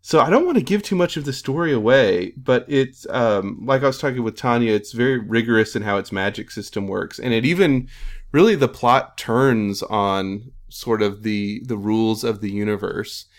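A man's voice: medium pitch, normal speed. A man moderating a casual panel interview, asking meandering, tentative questions and correcting himself as he goes.

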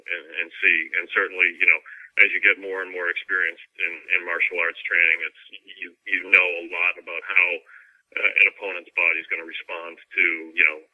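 A man chatting casually as an interview guest, talking in a rambling, conversational way with hesitant phrasing. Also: low-pitched. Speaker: interviewed fantasy novelist and martial artist. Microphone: telephone. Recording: tinny narrowband phone line.

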